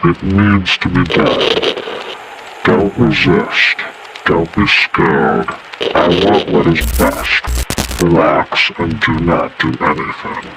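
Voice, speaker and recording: A man's voice: deep voice, steady tone, takes it slowly, music in the background, poor audio. A man voicing a sinister possessing entity in an analog horror story, speaking in a commanding, coldly reassuring manner. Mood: cold menace. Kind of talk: analog horror villain monologue.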